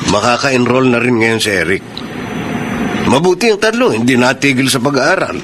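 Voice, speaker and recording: A man's voice: mid-range voice, animated, normal speed, close to an everyday voice. A man voicing a caring, hardworking middle-aged father in a Filipino radio drama, earnest and reassuring. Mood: earnest, hopeful. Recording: noisy background.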